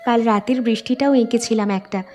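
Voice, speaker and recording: A woman's voice: on the high side, fairly even intonation, a little fast, a little mellow, faint music behind, natural voice. A woman playing a dreamy, artistic young village woman in a cartoon. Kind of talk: cartoon dialogue dub.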